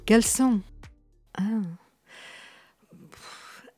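A woman's voice: fairly high pitch, steady tone, warm tone, faint music behind. Spanish-accented woman in her fifties. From Spain. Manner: thoughtful, halting, many restarts. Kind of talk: reflective interview talk.

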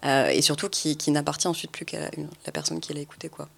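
Female panel guest, halting delivery, searching for words, unscripted discussion. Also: low voice, little pitch movement, creaky, a bit bright. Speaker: audio fiction maker, panel guest.

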